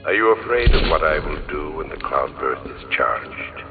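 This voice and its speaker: A man playing a sinister, cold video game supervillain, a master of fear who taunts in slow, deliberate, ominous theatrical monologues. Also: rumbling low, animated. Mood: cold threatening. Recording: dull, reverberant, distant, under loud music.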